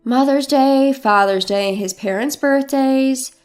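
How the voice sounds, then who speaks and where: Woman, story narrator, scripted first-person drama, higher-pitched, light music bed. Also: takes it slowly. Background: background music.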